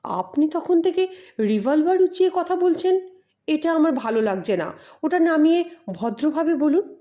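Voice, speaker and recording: Woman voicing a high-pitched, firm young-hero type. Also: lively intonation, moderate pace, mellow. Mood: indignant, firm. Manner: firm, questioning. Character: composed, polite but firm male hero facing threats.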